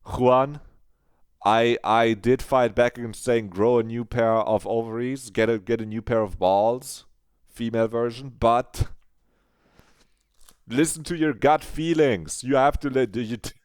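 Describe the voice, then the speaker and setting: A man streaming a visual novel playthrough, reading the narration aloud and reacting with animated commentary. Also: mid-range voice, measured pace, clean tone, no room sound, clean studio sound.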